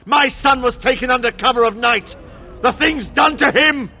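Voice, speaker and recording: A man's voice: extremely high pitch, moderate pace, pushed and bright, full character voice. An actor playing a grave, distressed noble father in a fantasy game. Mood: aggrieved. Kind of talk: dramatic fantasy game acting.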